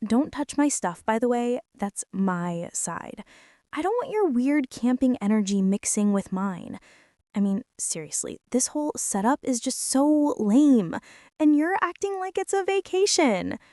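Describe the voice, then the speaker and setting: A woman's voice: fairly high pitch, expressive, measured pace, warm tone, no echo, studio quality. A woman playing a bratty teenage girl bully in an ASMR roleplay, whining and sarcastic as she complains straight to the listener. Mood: sarcastic, annoyed. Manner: whiny, sarcastic, exasperated complaining with sighs. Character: bratty teenage girl bully, grumbling, secretly softening toward tentmate.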